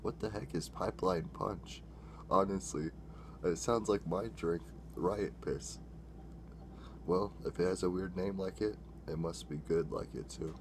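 A man's voice: sits low, unhurried, background noise. A young man casually taste-testing drinks on camera in a small room, rambling and correcting himself as he talks. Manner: rambling, self-correcting, excitable when tasting. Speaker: drink-mixing vlogger. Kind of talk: casual taste-test vlog. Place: small dim room indoors.

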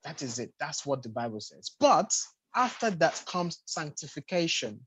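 A man's voice: fairly high pitch, sing-song, moderate pace, fairly bright tone, slightly rough. A man in his thirties on a video call, teaching earnestly off the cuff in a panel discussion and repeating short phrases for emphasis. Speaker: panelist at a church youth congress. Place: home room with bookshelves, video call.

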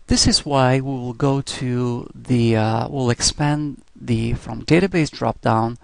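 A man giving step-by-step software instruction in a scripted screencast narration style, as a teacher would. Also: medium pitch, gravelly, no room sound.

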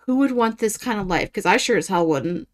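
A woman's voice: mid-range voice, animated, a little fast. A woman in her thirties giving casual reaction commentary in a blunt, sarcastic and profane way. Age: 30s.

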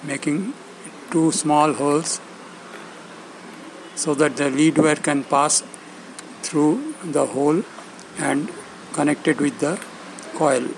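A man narrating instructions step by step, speaking in short, halting phrases with pauses between them. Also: fairly high pitch, creaky, a little hiss or hum, rough recording. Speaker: instructor demonstrating loudspeaker assembly.

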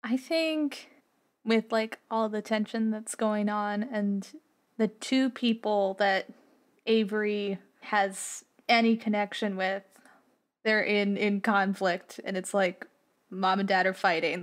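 Halting woman, medium-pitched, thinking aloud. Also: fairly even intonation. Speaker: tabletop game player. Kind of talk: casual, improvised character talk.

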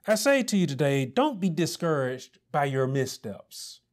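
A man in his thirties preaching a sermon as pastor, building to emphatic repeated lines with deliberate pauses, recorded on a lapel mic in a small church room. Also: on the high side, very animated. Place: small indoor church office set with pulpit.

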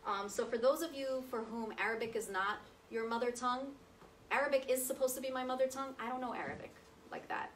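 A woman's voice: fairly high pitch, fast talker, background noise. Chatty woman speaking from across a room. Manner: chatty, conversational, checks in with listeners. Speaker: guest speaker. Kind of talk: personal testimony talk to an audience. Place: meeting room with projector screen. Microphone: far camera, speaking seated at a table. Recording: distant roomy sound from across the room.

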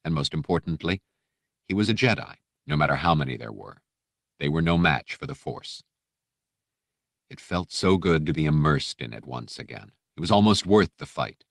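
Male audiobook narrator, extremely low voice, dramatic fiction read, very dry close sound. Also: lively intonation, normal speed, creaky, no echo.

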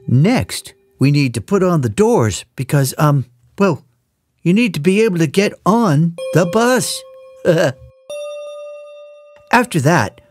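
A woman narrating a children's cartoon in a playful, animated way, with chatty asides to her young listeners. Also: sits low. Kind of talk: playful scripted narration for toddlers. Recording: music behind the voice.

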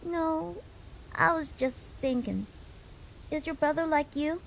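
A woman's voice: high-pitched, slow, vocal fry, a little hiss or hum, full character voice. A woman voicing an earnest, tender young monster boy in a comic dub, with an eager, childlike manner. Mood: wistful, thoughtful.

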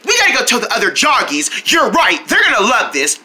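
A woman voicing a sweet, excitable young kindergartner in a children's cartoon, exclaiming in a sing-song way to young viewers. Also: fairly high pitch, fairly quick, heavily acted. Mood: delighted. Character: excitable, sweet young kindergartner, friendly kids' show character.

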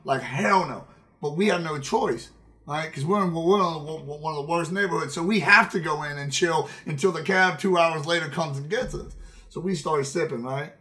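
High-pitched man telling stories on stream. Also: normal speed. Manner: animated, emphatic, repeats phrases for effect. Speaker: game streamer. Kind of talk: casual, profane storytelling on a livestream. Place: indoor room at a streaming setup.

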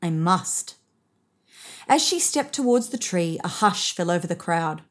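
A woman in her thirties reading a picture book aloud to children in an expressive, measured way. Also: fairly low pitch. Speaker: storybook reader. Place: indoors, seated on a sofa.

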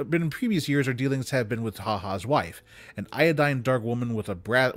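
A man with a medium-pitched voice reads a literary story aloud. His phrasing is dramatic and deliberate, recorded close to the microphone in a dry indoor room. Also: no echo. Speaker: story reader. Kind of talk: expressive literary story reading. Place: small room with bookshelves, indoors. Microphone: close studio microphone on desk.

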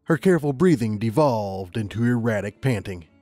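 A man's voice: mid-range voice, animated, moderate pace, mellow, no room sound, faint music behind. A man reading scripted fiction as an audiobook narrator.

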